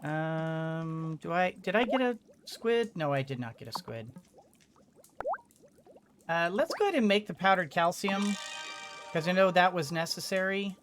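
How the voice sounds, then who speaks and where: A gamer giving casual commentary on a video game in a halting way, thinking aloud and repeating words. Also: mid-range voice, animated, music in the background. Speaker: gamer, let's-play host. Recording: clearly noisy background.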